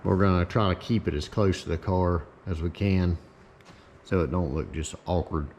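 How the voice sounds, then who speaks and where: A man's voice: deep voice, normal speed, lo-fi sound. A man giving a casual, plain-spoken, folksy explanation of his hands-on car work, as host of his own video. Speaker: host, race car builder. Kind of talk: casual explanatory shop talk. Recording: clear steady background noise, ambient hum.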